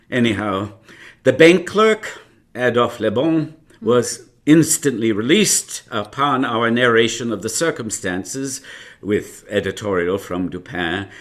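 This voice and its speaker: A man's voice: mid-range voice, fairly bright tone. An actor playing a genial older man reminiscing as narrator in a radio drama, with chatty, hesitant asides. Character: older male narrator reminiscing about his past adventures, genial, wistful. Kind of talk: live radio drama storytelling.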